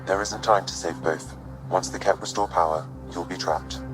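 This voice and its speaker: A man playing a calm artificial intelligence adviser in a video game, measured, precise and formal. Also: low voice, over music, lightly acted. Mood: measured urgency. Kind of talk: video game cutscene acting.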